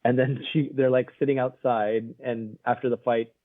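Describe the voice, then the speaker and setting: A man's voice: mid-range voice, flat delivery, normal speed, no room sound. A man co-hosting a casual film review podcast, rambling with lots of fillers and frequent laughs. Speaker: podcast co-host. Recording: narrow phone-like sound.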